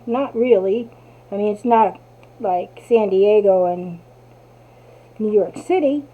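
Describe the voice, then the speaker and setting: A woman from New Jersey with a Jersey accent, casually demonstrating a craft and chatting to herself as she works. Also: fairly high pitch. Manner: chatty, talks to herself while working. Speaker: craft tutorial host. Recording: tinny, phone-like audio.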